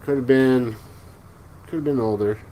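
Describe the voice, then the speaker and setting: A man in his forties hosting a casual live stream, chatting with viewers in a relaxed, joking way. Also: mid-range voice, moderate pace, rough recording. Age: 40s. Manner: laid-back, joking, chuckles often. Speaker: livestream host. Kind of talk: casual live chat reading viewer comments. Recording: noticeable background noise.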